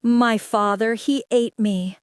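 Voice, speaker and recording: A woman's voice: a little high, steady tone, slow pace, smooth, no echo, clean studio sound. A woman voicing a magical singing bird, chanting its song in a sing-song way. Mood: haunting chant. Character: magical singing bird, boy's spirit, eerie.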